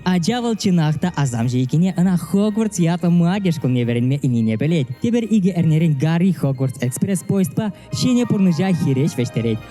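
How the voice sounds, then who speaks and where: A man with a very dark, soft-edged voice, a native Chuvash speaker. He narrates a scripted film review at a slightly raised pitch, with music underneath.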